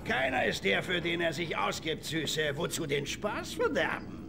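An actor playing a gleeful, menacing sick clown villain in a dubbed video game, with gravelly cackling and a mocking, theatrical delivery. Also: male voice, sits high, sing-song, normal speed, heavily acted. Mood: teasing. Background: music.

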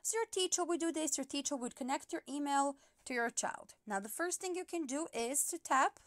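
High-pitched teacher lady explaining an app step by step, lots of uhs, dry close sound. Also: brisk, no room sound. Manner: patient, step-by-step explaining with many fillers. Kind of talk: app tutorial screen recording.

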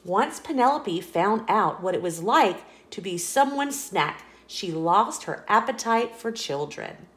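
A woman reading a children's picture book aloud in a playful, expressive storytelling voice, indoors. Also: medium pitch, a little slow, hardly any pauses. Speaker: storybook reader. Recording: roomy echo.

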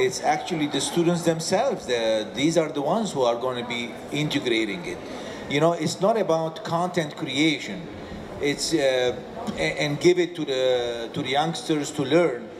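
Middle-aged man, slightly high voice, hall ambience. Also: background noise. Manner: explaining, with restarts. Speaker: panelist. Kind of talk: panel discussion answer. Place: stage of a large indoor hall. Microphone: handheld mic.

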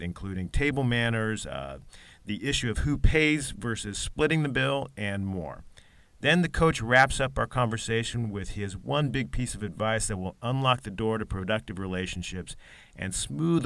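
A man hosting a podcast, reading a measured, scripted show introduction into a close mic. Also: on the low side, fairly bright tone, dry recording. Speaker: podcast host.

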